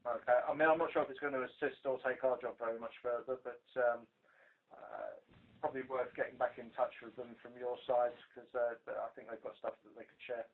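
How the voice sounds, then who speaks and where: A British man speaks over a thin, narrow-band phone line. His voice sits at an average pitch, and he briefs colleagues on a conference call in an informal, halting way, like an investigator at work. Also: fairly even intonation. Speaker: police investigator. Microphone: telephone.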